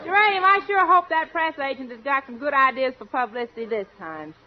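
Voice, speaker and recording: An actress playing a brassy, folksy, ditzy comedy star, exclaiming in 1940s radio comedy style. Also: female voice, sits high, expressive, fairly quick, some chatter behind. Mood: brisk, exclaiming. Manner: exclaiming, folksy comic delivery. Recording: dull, noisy vintage radio recording, studio audience.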